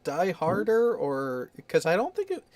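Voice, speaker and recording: Chatty man hosting a podcast, slightly higher voice. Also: huge pitch swings, measured pace, darkish tone, dry recording. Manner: chatty, explains things, opinionated about sports. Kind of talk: casual chat.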